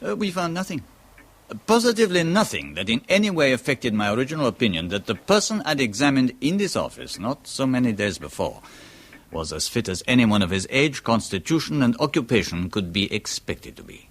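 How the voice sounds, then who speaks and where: An actor playing a grimly precise pathologist, explaining formally and deliberately in a radio horror drama. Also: male voice, medium pitch, big pitch swings. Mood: emphatic, clinical. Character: French male pathologist, formal, grimly precise, self-satisfied.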